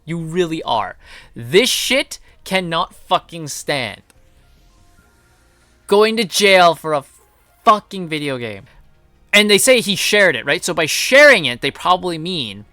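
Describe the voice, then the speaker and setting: Indignant man with a bright, forceful voice. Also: fairly high pitch, no echo. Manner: indignant, blunt, swearing emphatically. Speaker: commentary channel host. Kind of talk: unscripted opinionated news commentary.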